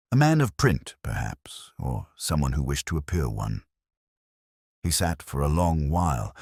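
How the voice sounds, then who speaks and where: Mystery narrator: male, middle-aged, extremely low voice, measured literary delivery with wide melodic movement. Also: breathy edge, no room sound, studio quality. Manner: measured, literary, suspenseful storytelling. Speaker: audiobook narrator. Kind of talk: scripted dramatic mystery narration.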